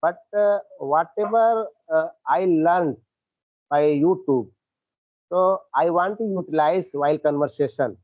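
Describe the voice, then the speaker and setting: High, halting man on a tinny line. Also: no echo. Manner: hesitant, halting, with many fillers and restarts. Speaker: English learner. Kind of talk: conversation practice call. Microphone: online call. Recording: tinny phone-like dry line.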